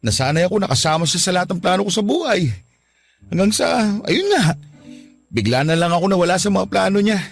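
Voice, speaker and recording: A man's voice: sits high, animated, fairly quick, vocal fry, faint music behind. A man voicing a heartbroken young man who speaks emotionally and gratefully about a new love, in radio drama style.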